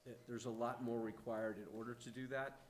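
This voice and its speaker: A man presenting to a town council in a measured, explanatory manner. His voice sits at a middle pitch. A faint hum runs underneath. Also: level tone.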